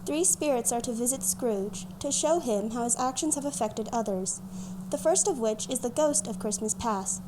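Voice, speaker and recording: A woman's voice: fairly high pitch, moderate pace. A teenage girl, a student narrator, reading scripted narration for a radio drama. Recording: noisy recording with room ambience.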